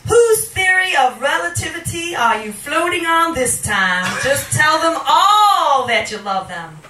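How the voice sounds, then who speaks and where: A woman reading poetry live at a small venue, with a dramatic, deliberate delivery, recorded with a handheld microphone in a roomy space. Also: sits high, big pitch swings, sharp tone, recorded from a distance, faint music behind. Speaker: poet reading at a poetry night. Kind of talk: live spoken-word poetry reading with casual asides. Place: small wood-panelled indoor bar venue. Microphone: handheld stage microphone, picked up from a camera.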